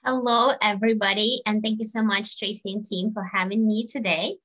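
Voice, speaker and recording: Podcast guest: woman in her thirties, bright, earnest storyteller. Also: medium pitch, fairly even intonation, a little fast. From Belarus. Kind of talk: casual interview chat.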